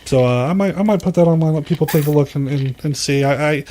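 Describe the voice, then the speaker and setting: A man's voice: fairly high pitch, fast talker, dark tone, slight background noise. A man hosting a podcast, chatting informally and jokingly about films, often addressing his guest as "man.". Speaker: podcast host. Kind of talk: casual film chat. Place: home theater.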